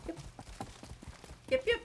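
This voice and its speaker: A woman's voice: slow pace, background noise. A woman gamer giving a chatty, casual walkthrough commentary, reading dialogue options aloud as she plays. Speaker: gamer narrating a game walkthrough.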